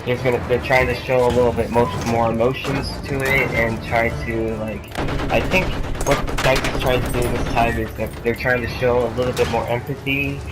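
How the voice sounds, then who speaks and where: A man's voice: medium pitch, level tone, normal speed, heavy background noise. A young man with a cold, rambling through a casual gaming commentary with lots of fillers and restarts. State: has a cold. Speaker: gaming YouTuber. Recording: game sounds behind voice.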